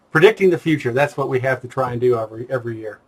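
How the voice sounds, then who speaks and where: A man's voice: mid-range voice, fast. A man hosting a casual, conversational interview and putting questions to his guest. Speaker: interviewer, host.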